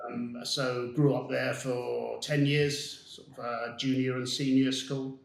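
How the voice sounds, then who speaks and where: A man whose first language is Dutch, a guest telling his life story in a measured way with frequent ums. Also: mid-range voice, level tone, vocal fry, echoey room, faint music behind, poor audio. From Netherlands and southern England. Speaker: guest, business founder. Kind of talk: casual interview storytelling.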